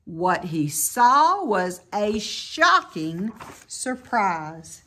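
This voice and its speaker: A woman reading a children's story aloud with dramatic emphasis and deliberate pauses. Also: mid-range voice, sing-song, bright, forceful tone. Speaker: storybook reader. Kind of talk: expressive children's story narration.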